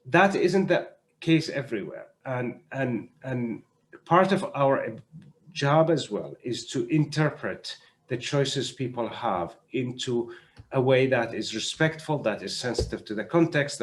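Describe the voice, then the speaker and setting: A man with a mid-range voice speaks as an expert panelist on an online call. He explains in a measured, thoughtful way, often restarting and repeating words to press his point. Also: medium pitch, animated. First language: not French (French is third language). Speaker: panelist, doctor. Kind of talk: panel discussion, spontaneous explanation. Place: online video conference.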